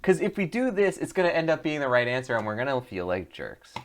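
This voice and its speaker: A man's voice: medium pitch, sing-song, quick pace. A man hosting a let's play, reading the choices aloud and joking about them with frequent laughs. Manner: jokey, reads options aloud, laughs often.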